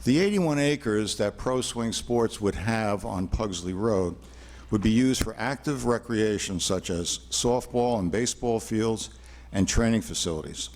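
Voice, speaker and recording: An older man speaks as an attorney before a town board, his fairly low voice carrying loudly into a podium microphone. He delivers his case in a measured, formal way, pausing on frequent fillers. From New York, USA. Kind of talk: formal public presentation. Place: town hall meeting room.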